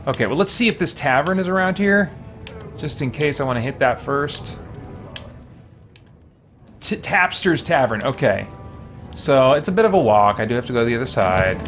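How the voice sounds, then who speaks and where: A man's voice: medium pitch, lively intonation, normal speed, long pauses, a bit bright. A man streaming a game, chatting casually and musing aloud as he plays. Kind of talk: casual live gameplay commentary. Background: game music.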